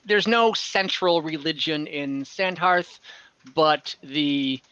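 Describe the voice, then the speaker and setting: Bright-voiced man running a tabletop game. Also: fairly high pitch, smooth, dry recording, lo-fi sound. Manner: halting, searching for words. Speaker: game master. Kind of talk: improvised narration of an online tabletop roleplaying game.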